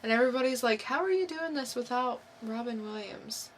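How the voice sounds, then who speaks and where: A woman's voice: fairly high pitch, animated, a little fast, a little hiss or hum. A woman co-hosting a podcast in casual, informal chat, often in short replies. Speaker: podcast co-host.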